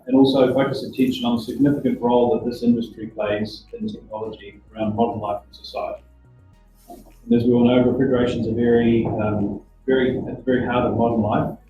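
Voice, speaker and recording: A middle-aged man with a New Zealand accent hosts a live panel at a technical institute and halts often on ums and uhs. He sounds far from the mic in a very echoey room. The recording is dull, with music playing clearly behind him. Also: medium pitch. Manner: halting, many ums and uhs. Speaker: host. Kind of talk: live-streamed panel chat. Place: teaching workshop at a technical institute.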